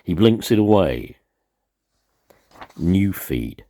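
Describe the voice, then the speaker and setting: A man reading scripted dramatic narration as a narrator, in a grave and deliberate manner. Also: low-pitched, sing-song, warm tone.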